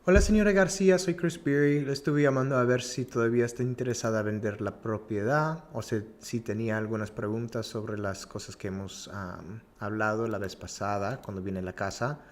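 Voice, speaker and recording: A man's voice: lowish voice, fast talker, few pauses. A man working as a real estate agent, making polite follow-up calls and talking through his day, switching between English and Spanish as a learned second language. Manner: polite and courteous, says ma'am.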